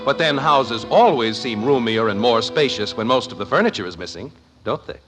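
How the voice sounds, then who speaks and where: Wry radio narrator over loud music. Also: male voice, mid-range voice, expressive, moderate pace. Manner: wry, folksy. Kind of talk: scripted 1950s radio narration.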